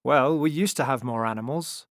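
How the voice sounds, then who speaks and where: A man's voice: medium pitch, normal speed, a bit bright, dry recording, studio quality, pitched above the performer's own voice, natural voice. A man voicing a dramatic, protective circus lion tamer in a storybook, with a theatrical, earnest manner. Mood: regretful.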